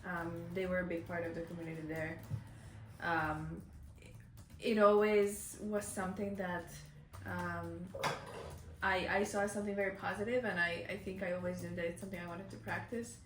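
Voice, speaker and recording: A woman around thirty, originally from Hungary, answers calmly and thoughtfully. Her voice is slightly low and heard from a distance in an echoey room, with a clear layer of background noise. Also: moderate pace. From Hungary, raised in Finland. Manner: thoughtful, calm, frequent ums. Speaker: interviewee. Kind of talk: casual interview answers.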